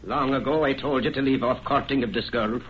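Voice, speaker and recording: A man's voice: medium pitch, fairly quick, natural voice. An actor playing a stern, domineering middle-aged Irish farmer father in a declamatory old-time radio drama style. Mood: angry, commanding. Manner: commanding, declamatory. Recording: dull, noisy vintage radio recording.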